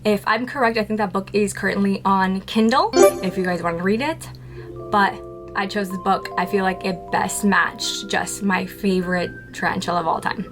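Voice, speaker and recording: A woman's voice: medium pitch, steady tone, normal speed. Chatty woman vlogger over background music. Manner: chatty, playful, asks and answers her own questions. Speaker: tarantula keeper vlogger. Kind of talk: casual scripted talk to camera.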